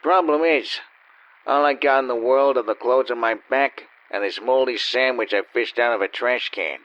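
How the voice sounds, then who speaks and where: An actor playing a cynical, down-and-out gambler narrating a noir radio play in a wry, world-weary way. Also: male voice, mid-range voice, moderate pace, fairly bright tone, lightly acted. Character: down-and-out cynical male gambler and con man. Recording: dull, slightly lo-fi.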